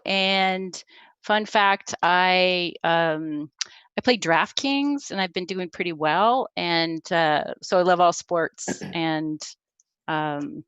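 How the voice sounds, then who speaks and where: A woman's voice: on the low side, fairly bright tone, smooth, dry recording. A woman in her fifties introducing herself in a plain, matter-of-fact way. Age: 50s. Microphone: video call. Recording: dry, muffled line.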